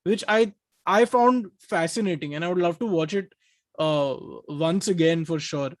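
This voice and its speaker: A man with an Indian accent and a noticeably high voice talks casually about film as a podcast co-host. He is enthusiastic and rambling, repeating words while he thinks. The sound is very dry, with no room to it. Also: normal speed, no room sound.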